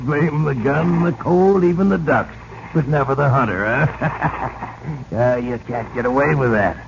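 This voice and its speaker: A man's voice: medium pitch, slow pace, creaky. An actor playing a hearty, boastful older businessman in a 1940s radio drama, with a jovial, avuncular manner. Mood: excited, teasing. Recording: dull, noisy 1940s radio recording.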